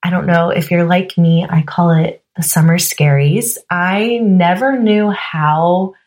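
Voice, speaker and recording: A woman hosting her own podcast solo, slightly hoarse, talking in a candid, chatty way full of "you know" and "like". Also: fairly low pitch, steady tone, takes it slowly, a little mellow. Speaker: podcast host. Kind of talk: casual solo podcast monologue.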